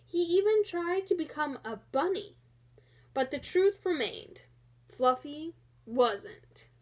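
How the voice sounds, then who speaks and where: Female storybook narrator, high-pitched, very measured pace, expressive read-aloud for children. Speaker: storybook reader.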